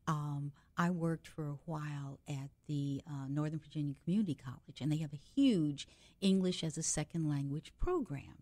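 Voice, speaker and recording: A woman's voice: low voice, moderate pace, darkish tone, no room sound. A woman from the United States hosting a radio interview, chatty and reflective, adding her own stories between questions. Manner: chatty, reflective, adds personal anecdotes. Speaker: radio show host. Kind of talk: conversational interview.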